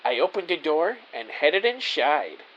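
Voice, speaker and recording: A man's voice: on the high side, sing-song, unhurried, dull audio. A man playing an earnest, naive detective who narrates his own story in a scripted audio drama, with a theatrical delivery. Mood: earnest narrating.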